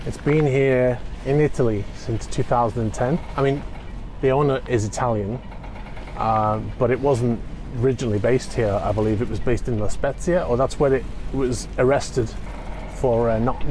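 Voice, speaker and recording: Man in his forties, selfie vlogger on a dock, mellow voice, faint outdoor noise, loose rambling delivery. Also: mid-range voice. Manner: chatty, rambling, with frequent fillers and restarts. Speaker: yacht vlogger. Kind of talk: casual walk-and-talk vlog. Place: outdoor marina dock in cold wind. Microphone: phone held at arm's length, selfie framing.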